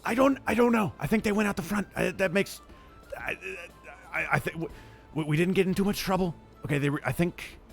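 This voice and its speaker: A man's voice: sits high, brisk, creaky, faint music behind. A man doing a stammering panicky thief. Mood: panicked. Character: flustered bumbling male thief Larry.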